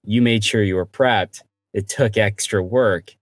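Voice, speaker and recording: Low-voiced man hosting a podcast. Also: measured pace, dry recording. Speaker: podcast host. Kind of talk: conversational interview.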